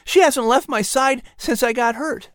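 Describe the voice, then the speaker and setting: Narrator playing an earnest young boy, high-pitched. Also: unhurried, a bit bright, dry recording, studio quality. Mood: happy. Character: earnest young colonial boy, honest and eager.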